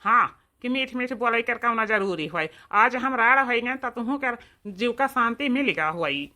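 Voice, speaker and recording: A woman's voice: a little high, expressive, moderate pace, bright, forceful tone. A woman playing an indignant young village husband in a comedy cartoon, confrontational and emphatic, with an eastern Uttar Pradesh accent. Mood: defiant.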